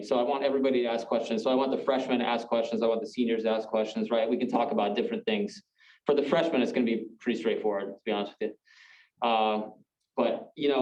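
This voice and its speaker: A man's voice: mid-range voice, a little mellow. A man who is a law school dean of admissions speaks candidly and conversationally to a group of students, often tagging "right?" onto his points. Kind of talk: informal talk to students. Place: classroom or meeting room. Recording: dull echoey room from far off.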